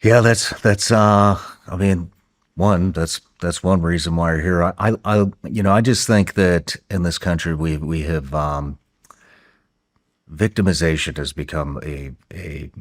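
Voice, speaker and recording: A man's voice: low voice, measured pace, dry recording, clean studio sound. A man hosting an interview podcast, speaking casually and often stopping to repeat his words. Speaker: podcast host.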